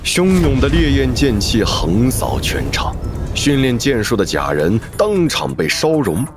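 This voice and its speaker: A man giving dramatic scripted narration for an animated fantasy story. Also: medium pitch, measured pace, music in the background.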